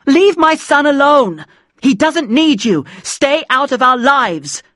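A reader acts out a middle-aged mother in an audiobook, her voice pitched up high. She is angry and possessive, nagging and scolding with insistent complaints. The sound is close and dry. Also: very animated, slow, fairly bright tone, no echo, full character voice. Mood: furious, demanding.

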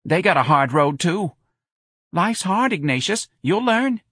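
A sentimental, chatty older mother, as a male narrator puts her on. Her voice rides high and wavers as she pleads and fusses. The recording is close and dry. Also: very animated, unhurried, fairly bright tone, slightly rough, no echo, heavily acted. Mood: scolding. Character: fussy elderly working-class mother, sentimental.

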